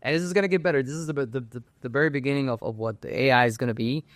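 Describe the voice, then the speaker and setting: A young man from Cuba, a guest in a casual interview, who talks haltingly and often restarts his phrases. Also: medium pitch, no echo.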